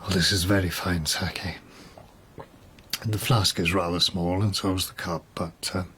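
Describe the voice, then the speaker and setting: A man's voice: sits low, steady tone, unhurried, bright, forceful tone, slight background noise. An actor in a radio drama playing a mad, doomed nobleman in a rambling, wry, reflective monologue. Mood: wry, resigned. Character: mad, doomed nobleman musing on his death.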